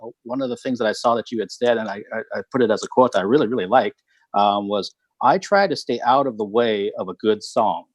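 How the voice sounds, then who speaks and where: Man, brisk talker, dry close sound, casual interviewer, agreeable, encouraging. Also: on the low side, no echo. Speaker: interviewer, fellow musician.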